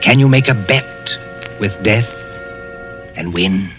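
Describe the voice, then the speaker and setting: A man's voice: fairly low pitch, slow, lo-fi sound. A man narrating as announcer of a 1940s radio drama, with dramatic, declamatory delivery. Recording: old radio broadcast, loud music behind.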